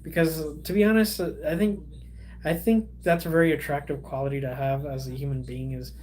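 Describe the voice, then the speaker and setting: A young man, a Spanish speaker, hosting a casual livestream and chatting with viewers in a rambling, halting way full of fillers and restarts. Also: on the high side, little pitch movement, darkish tone, slight background noise. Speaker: livestream host.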